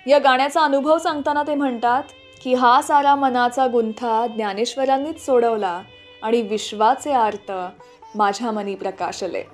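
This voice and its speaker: A woman radio host with a fairly high voice narrates scripted stories about music. Soft music plays underneath her. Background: background music.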